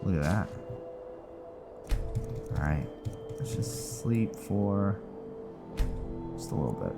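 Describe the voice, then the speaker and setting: A man's voice: sits low, sing-song, takes it slowly, gravelly. A man giving casual, laid-back commentary while playing and roleplaying in a video game. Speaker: gamer, streamer. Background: loud game music.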